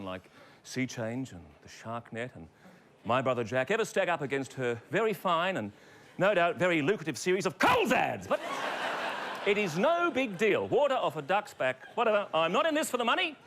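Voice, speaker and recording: A man playing a vain, bitter, washed-up actor hosting a live television show, speaking with an Australian accent in a pompous, sarcastic manner. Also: a little high. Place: television studio stage. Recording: live studio, noisy crowd.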